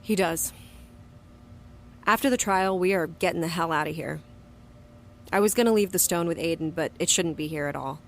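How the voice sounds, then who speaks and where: Casting: actress as tough, stubborn female agent for audio drama, lowish voice, faint ambience behind her. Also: normal speed, long pauses, a little hiss or hum, natural voice. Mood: subdued. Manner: blunt, terse, stubborn. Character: defiant, battle-hardened young woman agent narrating her story. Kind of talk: audio drama dialogue and first-person narration.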